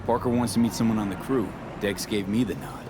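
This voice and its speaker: A man's voice: lowish voice, a little fast, background noise, lightly acted. An actor playing a direct, cool-headed young male mercenary in a video game, speaking in terse, matter-of-fact lines. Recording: game audio over loud music.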